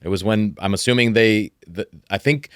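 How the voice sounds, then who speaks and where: A man from Brazil co-hosting a podcast, answering trivia in a relaxed, unsure way. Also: fairly low pitch, normal speed, clean tone, no room sound, studio quality. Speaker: podcast co-host. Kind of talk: casual chat.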